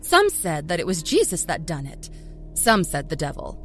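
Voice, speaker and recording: Wry, animated small-town Southern storyteller. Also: female voice, low voice, moderate pace, faint music behind, heavily acted. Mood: sly, confiding. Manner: wry, folksy, confiding storyteller. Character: sly small-town Southern woman, a self-confessed professional liar. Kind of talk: dramatic first-person horror story narration. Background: musical score.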